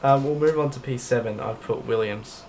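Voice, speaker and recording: A man's voice: medium pitch, moderate pace, a little mellow, slight background noise. A man co-hosting a podcast, chatting casually in an informal, blunt and jokey way. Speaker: podcast co-host. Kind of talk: casual panel chat.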